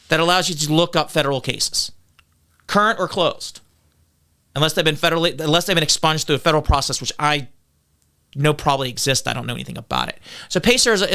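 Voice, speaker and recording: A man's voice: fairly high pitch, clean tone. A man, a political candidate on a podcast, arguing back defensively and lecturing on legal details. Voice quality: loud voice.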